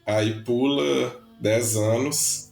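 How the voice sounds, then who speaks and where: A man from Brazil, a musician and educator, recalling his projects in a relaxed, storytelling way, stretching some words. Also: mid-range voice, extremely slow, vocal fry, soft background music. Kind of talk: casual interview talk. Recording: roomy echo.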